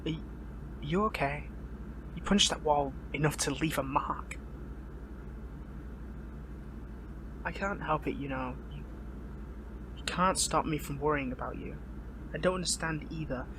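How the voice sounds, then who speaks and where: An earnest, lovelorn young hero held captive, acted by a man in a dramatic audio roleplay. His voice sits fairly high for a man and stumbles into stammers and breathy pleading as he wrestles with his loyalties. Noticeable noise sits behind the recording. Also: moderate pace, pauses often, lightly acted. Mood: worried, tender.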